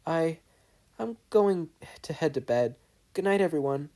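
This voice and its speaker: Narrator acting a mild storybook teen boy, raised pitch, mellow, casual, evasive. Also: expressive, measured pace.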